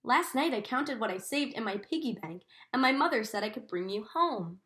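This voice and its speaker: A kind little storybook girl talks eagerly and affectionately , played by a woman reading aloud. Her pitch is fairly high. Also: lively intonation, moderate pace, a bit bright. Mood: warm, eager.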